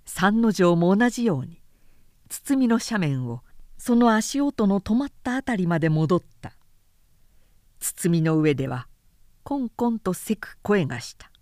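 A woman narrator giving a measured, clearly articulated reading of literary fiction in audiobook style. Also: medium pitch, sing-song, a little mellow, no room sound, clean studio sound.

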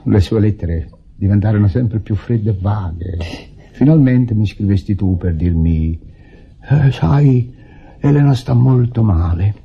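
An actor playing a bitter, wounded husband in an Italian radio drama, husky, deliberate and theatrically sarcastic. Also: male voice, lowish voice, exaggerated voice. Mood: brooding, wounded. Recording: dull vintage radio drama recording.

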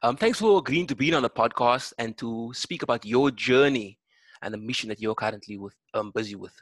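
A man hosts a podcast interview in a measured, encouraging tone. His voice sits at a middle pitch. The sound is dry and close. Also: fairly bright tone, no room sound. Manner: measured, encouraging, uses um fillers. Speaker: podcast host.

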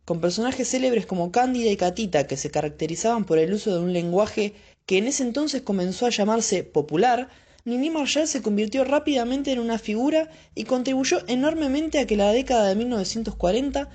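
A young Argentine woman narrating a documentary podcast in a clear, measured way. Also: on the high side, warm tone.

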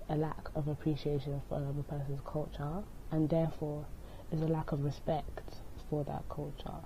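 A young woman from the UK with a very low, mellow, dark voice that barely rises or falls. She explains her point in a plain, matter-of-fact way. A faint hiss sits underneath. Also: poor audio. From United Kingdom. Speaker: podcast co-host. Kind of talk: explaining a topic on a podcast.

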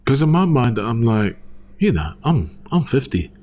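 A man's voice: mid-range voice, big pitch swings, moderate pace, darkish tone, slight background noise. A man in his forties telling his story in a rambling, casual way, often asking "you know what I mean?". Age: 40s. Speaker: guest sharing his church story. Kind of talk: casual conversational testimony.

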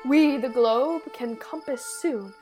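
Regal fairy monarch, voiced by a woman: solemn, lyrical Shakespearean radio verse, unhurried delivery, light music underneath. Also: high-pitched, darkish tone.

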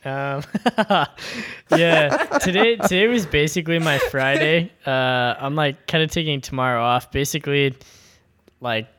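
Hesitant young man, smooth voice. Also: a little high, a little slow, fairly bright tone. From Washington, D.C. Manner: hesitant, lots of fillers. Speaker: band member, guest. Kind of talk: casual podcast chat.

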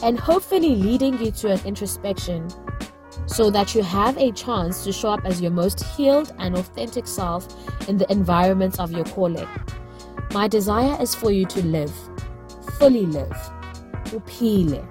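A woman hosting a solo wellness podcast in an earnest, reflective way. Her voice is deeper than usual because she has just woken up, and she stretches words out for emphasis. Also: lively intonation, normal speed, music in the background. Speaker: podcast host.

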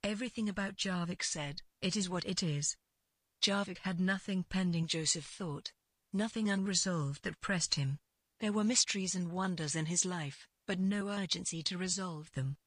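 A woman reads literary fiction as its narrator. Her voice is a little low and husky, with a dry, close sound. The delivery is measured and scripted. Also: dry recording.

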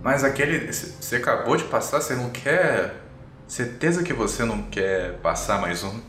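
A man with a Brazilian accent voicing a pushy, curt office boss in a tabletop game, halting and insistent. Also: mid-range voice, expressive, a bit bright, slight background noise, close to an everyday voice. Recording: roomy echo, music underneath.

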